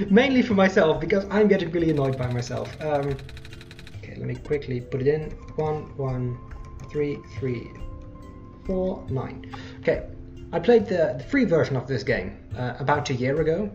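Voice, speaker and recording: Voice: medium pitch, expressive, normal speed. Casting: gameplay host, casual and rambling, self-correcting, lo-fi dull mic with game music underneath. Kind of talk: casual let's play commentary.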